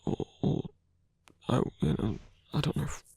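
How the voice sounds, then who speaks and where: A performer in an intimate ASMR roleplay, voicing a gentle, protective supernatural lover with a husky, breathy voice and a hesitant, earnest delivery. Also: moderate pace, dry recording, close to an everyday voice. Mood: earnest, devoted.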